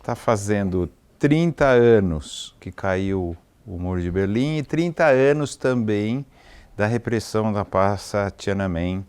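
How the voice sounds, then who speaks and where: A Brazilian-accented man with a fairly low voice hosts a conversation in a casual style. He feels his way through sentences, rephrasing as he goes and asking for confirmation. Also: a little mellow, clean tone. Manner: halting, rephrasing, seeking confirmation. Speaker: interview host.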